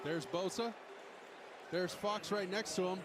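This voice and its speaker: A man's voice: high voice, steady tone, unhurried. A man giving casual football analysis as a television colour commentator. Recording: broadcast feed with clear stadium noise.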